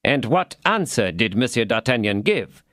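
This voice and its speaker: A man's voice: medium pitch, very animated, fairly bright tone, no echo, studio quality. A man voicing a stern, officious police commissary interrogating a prisoner. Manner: interrogating, officious, slow and emphatic.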